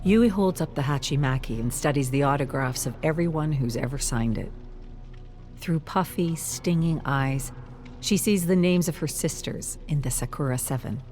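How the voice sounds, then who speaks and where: A narrator reading a dramatic scripted story in a measured, wistful manner. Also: medium pitch, darkish tone, faint music behind.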